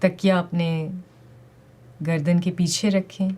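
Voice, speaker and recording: A woman leading a guided meditation with calm, gentle, deliberate instructions and pauses between phrases. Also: on the low side.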